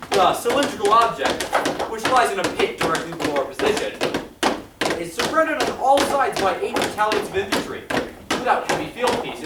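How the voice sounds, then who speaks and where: A man playing a confident army signal corps captain reporting from the field in a 1930s radio drama style. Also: high-pitched. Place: theatre stage, live performance. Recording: noisy echoey stage recording from far off.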